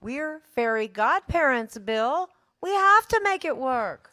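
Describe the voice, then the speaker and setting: A woman's voice: sits high, big pitch swings, takes it slowly, bright, forceful tone, full character voice. A woman playing an upbeat, take-charge home renovation designer in a scripted fairy-tale parody. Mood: insistent.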